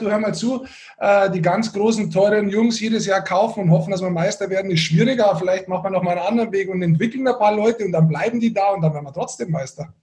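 This man has a notably high-pitched voice. He works as an interviewer on a podcast and phrases his questions in a loose, conversational style. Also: darkish tone.

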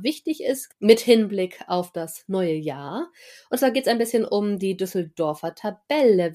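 A woman with a cold, chatty and quick to laugh as she opens a podcast episode. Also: mid-range voice, mellow. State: hoarse from a cold. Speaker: podcast co-host. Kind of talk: casual podcast chat.

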